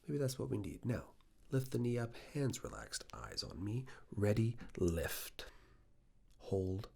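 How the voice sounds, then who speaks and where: A man in an ASMR roleplay playing a stern, controlling yet reassuring fitness assessor, giving hushed, clipped commands in a breathy, gravelly voice that often slips into whispers. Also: lowish voice, sing-song, measured pace, full character voice. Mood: firm, focused. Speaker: ASMR performer. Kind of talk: ASMR personal attention roleplay.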